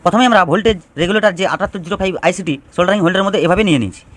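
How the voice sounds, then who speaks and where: A man's voice: sits high, racing, fairly bright tone. A man giving an informal step-by-step DIY electronics tutorial, explaining and restating phrases as he goes.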